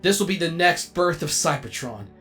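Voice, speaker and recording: A man's voice: a little high, normal speed, soft background music. Man playing a theatrical, self-important robot director. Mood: eager. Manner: theatrical, grandiose, excitable. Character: vain, melodramatic robot actor and amateur movie director. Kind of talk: comedic animated comic dub acting.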